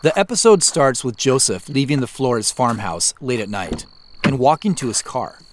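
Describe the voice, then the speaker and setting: An American man hosting a scripted behind-the-scenes commentary in a measured, explanatory manner. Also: medium pitch, lively intonation, slight background noise. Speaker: show creator and host.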